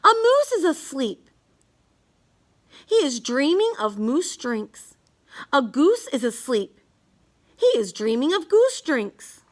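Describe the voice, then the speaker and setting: A woman reading a rhyming children's bedtime story aloud, halting now and then with small stumbles over words. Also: very high voice. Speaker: storybook reader.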